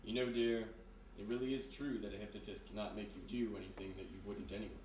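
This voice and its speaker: An actor playing a sinister, courteous hypnotist in a live radio mystery, speaking in a measured, insinuating way. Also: male voice, lowish voice, fairly even intonation, darkish tone, lightly acted. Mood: calm, confiding. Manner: measured, insinuating, polite, deliberate. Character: sinister soft-spoken male hypnotist, calmly menacing, courteous. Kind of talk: live 1940s-style radio mystery acting. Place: live performance in a historic house room. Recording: roomy distant live recording, background noise.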